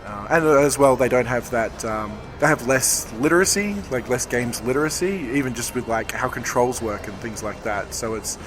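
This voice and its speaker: A man, a game developer, hoarse from talking all day, answering interview questions in a rambling, thoughtful way. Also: medium pitch, normal speed, hardly any pauses, music in the background. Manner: rambling, self-correcting, thoughtful. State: hoarse, losing his voice. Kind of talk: convention floor interview. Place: busy convention floor.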